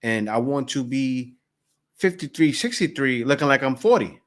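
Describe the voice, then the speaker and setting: A man's voice: medium pitch, expressive, moderate pace, a bit bright. A man in his forties giving loose, conversational reaction commentary and repeating himself. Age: 40s. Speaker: host reacting to a video.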